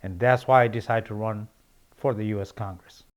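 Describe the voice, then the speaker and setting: A man's voice: fairly low pitch, steady tone, moderate pace. Earnest Nepali-accented man. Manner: earnest, repetitive, many fillers and restarts. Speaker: congressional candidate. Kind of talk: interview answers.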